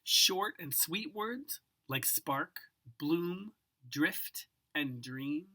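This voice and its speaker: A woman giving an expressive children's picture book read-aloud, savoring words dramatically and speaking directly to the listener. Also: low voice. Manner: savors single words with drawn-out, dramatic delivery and occasional whispers. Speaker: storybook reader.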